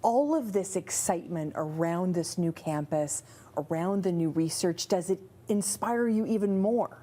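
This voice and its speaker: A woman's voice: fairly low pitch, a little slow, mellow. A middle-aged woman hosting a TV interview show in a studio, talking in a bubbly, effusive way. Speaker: TV host.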